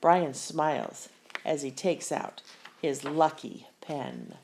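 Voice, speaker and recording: A woman reading a children's picture book aloud with expressive, deliberate storyteller phrasing. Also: sits low, gravelly, slight background noise. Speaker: story reader.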